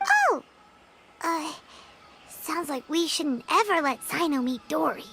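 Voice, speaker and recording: A woman voicing a tiny, excitable, childlike female companion sprite in a video game, squeaky and theatrical. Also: slow, a little hiss or hum, exaggerated voice. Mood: nervous. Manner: exclaiming, theatrical.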